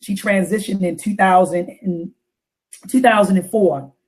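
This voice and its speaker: A woman hosting a casual talk show, her deep voice raspy and hoarse after coughing, repeating phrases for emphasis. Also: unhurried, darkish tone. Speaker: host.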